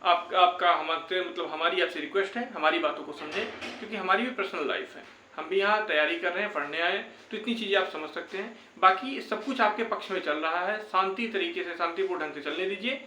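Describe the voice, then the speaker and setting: A man's voice: high-pitched, brisk, bright, forceful tone, a little hiss or hum. A young man giving an informal, reassuring update to viewers, often repeating his phrases. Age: young adult. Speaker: YouTube exam-update presenter. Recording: echoey, roomy sound.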